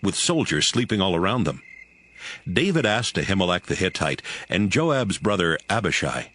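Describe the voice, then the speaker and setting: A man's voice: low voice, big pitch swings, normal speed, sharp tone. A man narrating scripture as scripted dramatic storytelling.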